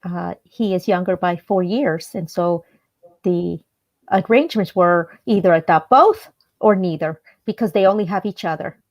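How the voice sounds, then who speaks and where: A woman from El Salvador, a guest on a radio interview, telling her life story in an earnest, measured way with some hesitations. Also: fairly low pitch.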